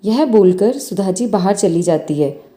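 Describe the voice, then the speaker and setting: A woman's voice: mid-range voice, lively intonation, unhurried, mellow. A woman storyteller reading a scripted bedtime moral story. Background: faint music.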